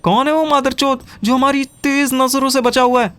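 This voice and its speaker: A man voicing an excitable, indignant, foul-mouthed young friend in a comic audio drama, ranting and accusing. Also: very high-pitched, normal speed, pitched above the performer's own voice. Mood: furious.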